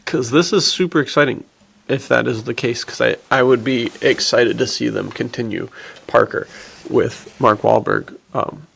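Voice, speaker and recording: A man's voice: medium pitch, fairly even intonation, moderate pace, creaky, a bit bright, slightly rough. An American man co-hosting a podcast, casually discussing movies with slangy enthusiasm. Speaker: podcast co-host.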